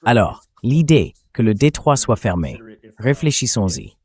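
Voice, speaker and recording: A man reading a translated political interview as a voice-over, in a measured, explanatory way. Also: medium pitch, sing-song, darkish tone, husky.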